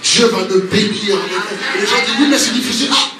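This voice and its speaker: A man's voice: sits high, brisk. A man preaching and praying as a pastor to a congregation, speaking spontaneously and emphatically with repeated exhorting phrases. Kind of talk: spontaneous charismatic sermon with prayer. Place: large echoey room, church service. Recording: roomy, distant mic, noisy background.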